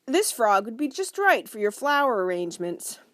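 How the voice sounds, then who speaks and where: A woman's voice: fairly high pitch, very animated, moderate pace, fairly bright tone, no room sound, clean studio sound, pitched above the performer's own voice. A woman voicing an eager, chatty, innocent small boy in a children's audiobook, with guileless, slangy talk.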